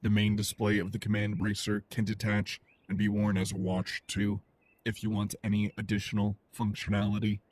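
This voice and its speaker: A man's voice: on the low side, monotone, moderate pace, a little mellow, natural voice. A man playing a suit's artificial intelligence in an audio drama, matter-of-fact with a dry, teasing streak. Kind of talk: acted audio drama dialogue.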